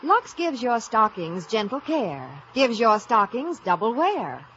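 Slow, animated woman in a radio advert. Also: on the high side. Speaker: commercial announcer. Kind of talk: rhythmic 1950s radio jingle advertising. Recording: dull, faintly noisy old radio broadcast.

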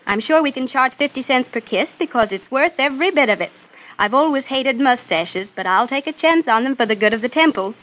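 A brisk, businesslike young organizer. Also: female voice, high voice, expressive, fairly bright tone, lo-fi sound. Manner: businesslike, confident. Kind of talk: 1940s radio acting.

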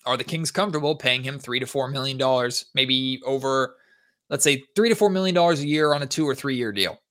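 A man's voice: medium pitch, a little fast, a bit bright. A man hosting a sports podcast, giving opinionated, conversational basketball analysis with emphatic stress on key words.

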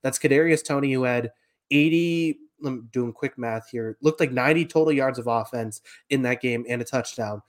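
A man with a mid-pitched voice talks football as a podcast co-host. He works through matchups and players in a conversational, analytical style. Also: normal speed.

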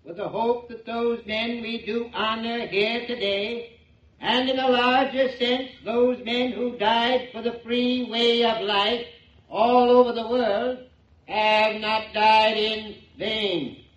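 A solemn small-town official in an old radio play, orating pompously in a high-pitched voice. He sounds distant and echoey, as if addressing a gathering outdoors in formal oratory. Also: fairly even intonation, takes it slowly, a bit bright, dull audio, full character voice. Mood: solemn, grand. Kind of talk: 1940s radio drama acting, public speech.

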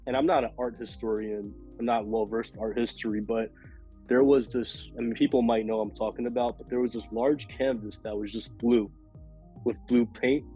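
A man with a raspy, hoarse voice from a cold, rambling casually as a podcast guest with many fillers. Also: mid-range voice, steady tone, normal speed, warm tone, faint music behind. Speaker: podcast guest, food illustrator.

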